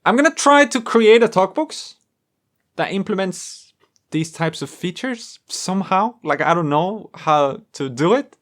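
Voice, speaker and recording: Higher-pitched man, animated and musing vlogger. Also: a little slow. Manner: hesitant, musing, trailing off mid-thought. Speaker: music gear video creator and host. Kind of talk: casual rambling vlog talk to viewers.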